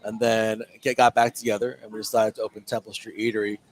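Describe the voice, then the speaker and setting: A man's voice: lowish voice, little pitch movement, fast, a little hiss or hum, low-quality recording. Hesitant man, restaurant co-owner. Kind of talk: casual interview answers.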